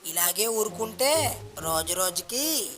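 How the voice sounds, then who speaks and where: A woman's voice: mid-range voice, expressive, slow pace, music in the background, voice pushed down. Woman voicing an earnest, determined poor beggar man. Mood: earnest, resolute. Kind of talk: moral storybook character acting.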